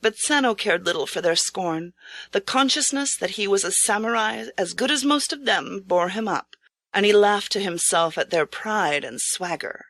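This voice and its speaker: A woman reading a scripted storybook tale as narrator, in a measured, formal, dramatic manner. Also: mid-range voice, expressive, fairly bright tone, no echo. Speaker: audiobook narrator.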